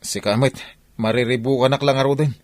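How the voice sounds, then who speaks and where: A man's voice: mid-range voice, steady tone, brisk, natural voice. A man playing a flustered, pleading philanderer in an Ilocano radio drama, hesitant and stammering. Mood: troubled. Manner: hesitant, stammering when cornered.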